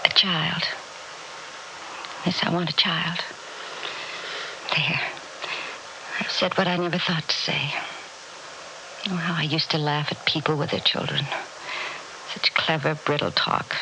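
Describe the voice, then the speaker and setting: An actress in a 1960s radio drama playing a fervent woman who has found joy in knowing her days are numbered, speaking in a halting, breathy, impassioned way. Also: sits low, flat delivery. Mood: wistful confession. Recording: noisy old radio drama recording.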